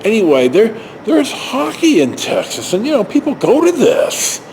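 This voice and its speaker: An older, retired man telling stories casually to camera in a halting, rambling, self-correcting way. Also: high voice, wildly swooping pitch, creaky, darkish tone, a little hiss or hum, low-quality recording. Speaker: video storyteller.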